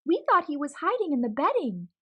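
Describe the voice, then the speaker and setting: A woman voicing an excitable, earnest little boy in a children's storybook, eager in manner. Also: high-pitched, fairly quick, voice pushed up.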